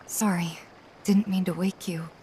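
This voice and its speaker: An actress playing a gentle, wistful young woman in a dramatic video game scene, speaking softly and with emotion. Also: on the low side, fairly even intonation, unhurried, a little hiss or hum, natural voice, rough recording. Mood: tender, wistful.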